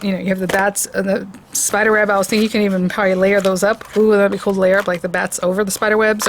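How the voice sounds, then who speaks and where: Woman craft host, hoarse, gushing and chatty, mid pitch, faint background noise. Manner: chatty and excitable, gushing over products. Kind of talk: casual product review and crafting demo.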